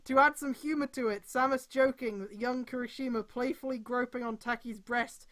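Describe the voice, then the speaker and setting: A woman's voice: fairly high pitch, steady tone, brisk, fairly bright tone. A woman casually reading a story aloud on a stream, breaking off for irreverent, sweary commentary.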